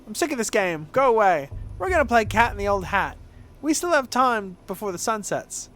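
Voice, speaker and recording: Man putting on a high-pitched, defiant street kid. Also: big pitch swings, moderate pace, fairly bright tone. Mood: fed up.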